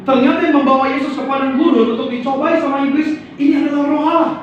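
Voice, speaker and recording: High-voiced male pastor preaching in an echoey church. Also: fairly even intonation, quick pace, slight background noise. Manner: call-and-response, prompting the congregation to repeat and say amen. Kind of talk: sermon. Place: church sanctuary. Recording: echoey church hall, distant dull mic.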